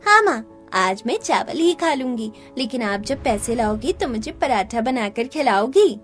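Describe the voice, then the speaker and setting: A woman acts out a sweet, hungry little girl for a cartoon. The voice is high and childlike, with a plaintive manner. Soft music runs underneath. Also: lively intonation, a little slow. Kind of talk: animated story dialogue.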